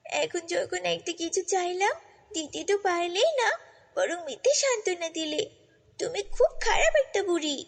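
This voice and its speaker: A woman's voice: very high voice, lively intonation, moderate pace, vocal fry, big-room sound, exaggerated voice. A woman voicing a headstrong, emotional orphan girl in a children's fairy-tale cartoon, earnest and exclaiming. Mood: hurt, sulky.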